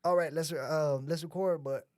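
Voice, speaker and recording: A man's voice: a little high, steady tone, moderate pace, darkish tone, no room sound, clean studio sound. A man in his twenties casually telling a story in a podcast chat, laid-back, with loose slang and swearing. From United States. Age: 20s. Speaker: rapper and producer.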